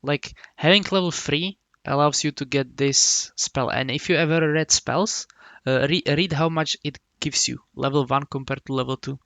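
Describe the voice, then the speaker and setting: Man, from the Republic, gravelly voice, dry close sound, halting coach talk. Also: a little high, fairly even intonation, a bit bright, dry recording. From Czech Republic. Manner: halting, many restarts and hums while explaining. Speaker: game coach.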